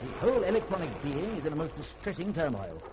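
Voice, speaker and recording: A man's voice: a little high, big pitch swings, quick pace, background noise. A man in a 1950s radio drama playing an erudite, reclusive scholar who speaks in eloquent, formal, lecturing sentences. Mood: commanding, lecturing.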